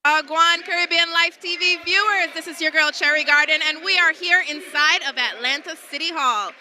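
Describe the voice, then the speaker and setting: A woman's voice: very high voice, normal speed, sharp tone, slight background noise. A woman TV reporter in her thirties, bubbly presenter patter into a handheld mic at an indoor event. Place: busy indoor hall at a public event. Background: faint crowd ambience.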